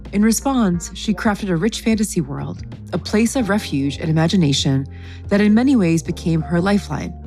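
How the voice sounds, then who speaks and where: A woman hosting a podcast, reading a scripted intro and asking interview questions in a calm, measured way. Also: lowish voice. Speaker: podcast host.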